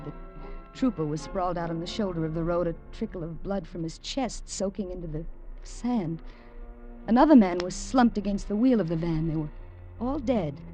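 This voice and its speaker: A woman's voice: mid-range voice, natural voice. An actress playing a frightened young woman who narrates her ordeal and stammers in a 1950s radio drama. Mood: shaken. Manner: breathless, stammering, tense. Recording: old radio drama with music underneath.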